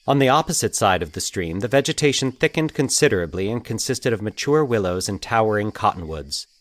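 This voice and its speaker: A man narrating a scripted fiction story in a measured, literary storytelling way. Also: fairly low pitch, expressive, dry recording.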